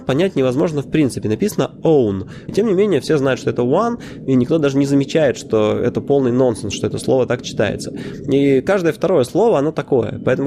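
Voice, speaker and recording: A man's voice: medium pitch, background noise. A man hosting a casual podcast monologue, talking in a conversational way with frequent filler sounds, self-corrections and wry, opinionated asides. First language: Russian. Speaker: podcast host.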